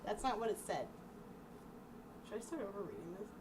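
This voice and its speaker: Far off mic w noise, young woman w higher voice reading out loud casually, giggly side comments. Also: animated, brisk. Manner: giggly, breaking off into asides. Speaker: amateur vlogger reading childhood books aloud. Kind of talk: casual chatty read-aloud with asides. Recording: distant mic with clear background noise.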